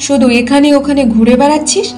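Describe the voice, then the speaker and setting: A woman voicing a spiteful older mother-in-law in a cartoon folk tale, nagging and accusing with a sharp tongue. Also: high voice, steady tone, quick pace, faint music behind, lightly acted. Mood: scolding.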